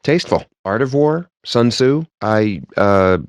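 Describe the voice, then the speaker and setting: Verbose gamer geek, wry, theatrical, swooping intonation, close dry sound, video game character. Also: male voice, fairly low pitch, animated, a little slow, clear, resonant tone, dry recording. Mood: curious, conversational. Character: wry, foul-mouthed, pompous gamer geek at a poker table.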